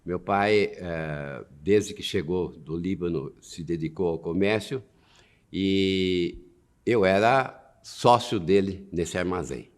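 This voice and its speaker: A man in his nineties, a war veteran, recalling his youth slowly with pauses and fillers. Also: low-pitched. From Brazil. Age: 90s. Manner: slow, halting, with many pauses and fillers.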